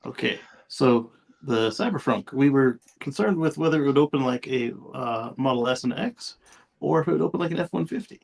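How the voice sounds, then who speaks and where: A man co-hosting a casual panel show, commenting in a conversational, halting way. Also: mid-range voice, lively intonation, gravelly, a little mellow. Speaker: show co-host.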